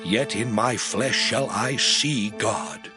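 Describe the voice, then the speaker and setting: An actor playing a suffering man who pleads with his friends, in a dramatized scripture reading with anguished, slow declamation. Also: on the low side. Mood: pleading. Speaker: actor voicing Job. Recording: music bed under voice.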